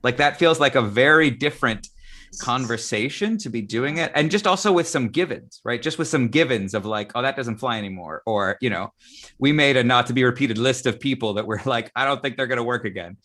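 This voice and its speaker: A man hosting a casual live talk show, speaking in a halting, conversational and emphatic way. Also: medium pitch, bright, forceful tone. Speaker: talk show host, theatre director. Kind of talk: casual live online chat.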